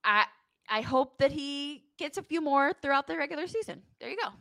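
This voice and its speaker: A woman co-hosting a sports podcast in casual post-game chat, speaking in an animated, emphatic way. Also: high voice, a little fast, dry recording, clean studio sound.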